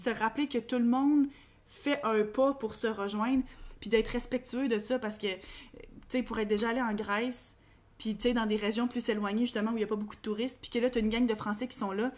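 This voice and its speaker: A young woman with a Québécois accent, co-hosting a casual podcast chat, talking quickly with lots of fillers. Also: a little high, steady tone, darkish tone. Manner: chatty, quick, colloquial, many fillers. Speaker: podcast co-host.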